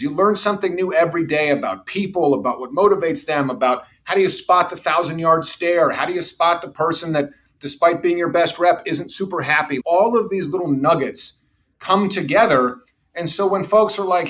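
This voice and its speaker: Confident storytelling man, fairly high voice. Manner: confident, anecdotal, says he has a loud voice. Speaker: revenue executive guest. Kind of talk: podcast interview storytelling.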